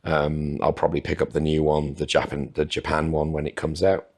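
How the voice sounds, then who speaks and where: A man answers interview questions, his voice sitting very low and heavy. He talks in a relaxed, rambling way and pokes gentle fun at himself. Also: gravelly. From North Wales. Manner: unhurried, rambling, self-deprecating. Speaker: podcast guest. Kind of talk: casual interview chat.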